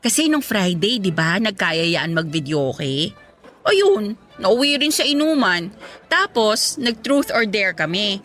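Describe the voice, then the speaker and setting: A woman's voice: medium pitch, sing-song, slow, a bit bright, faint music behind. An actress playing a chatty, teasing woman in her twenties in a radio drama. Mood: gossipy, teasing. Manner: chatty, teasing, casual conversational. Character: playful teasing young female office mate, age twenty-three. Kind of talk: Tagalog radio drama dialogue.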